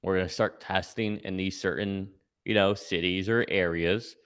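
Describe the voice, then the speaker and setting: Man, very low voice, guest commentator, casual analysis, explanatory, often tags points with "right?". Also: fairly even intonation, moderate pace, clear, resonant tone. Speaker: guest commentator giving predictions.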